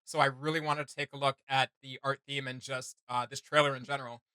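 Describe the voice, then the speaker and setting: Bright-voiced young man chatting casually. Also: mid-range voice, little pitch movement, fast talker, clear, resonant tone, dry recording. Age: young adult. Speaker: reaction video host. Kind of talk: casual reaction chat. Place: small home room with desk.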